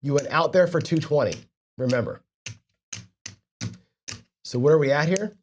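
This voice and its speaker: A man's voice: on the high side, moderate pace, a little hiss or hum. A man with a cold and a stuffy nose explaining a gambling strategy in a conversational tutorial, often checking in with his listeners. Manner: conversational, frequent tag questions "right?". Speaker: host of a casino gambling channel.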